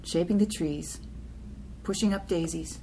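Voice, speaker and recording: A woman's voice: fairly low pitch, a little slow, warm tone, a little hiss or hum, poor audio. A woman giving a scripted poetry reading in short, separate phrases.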